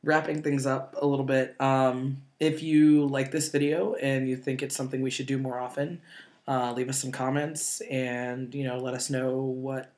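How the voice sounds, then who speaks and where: A man in his late twenties, co-hosting a casual chat and talking in a relaxed way with many fillers and pauses. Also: medium pitch. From northeastern Ohio. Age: 20s. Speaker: co-host.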